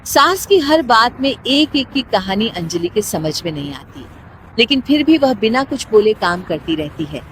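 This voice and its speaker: High-pitched woman narrating a story. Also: big pitch swings, normal speed, a bit bright, slight background noise, rough recording. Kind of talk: scripted narration of a cartoon moral story.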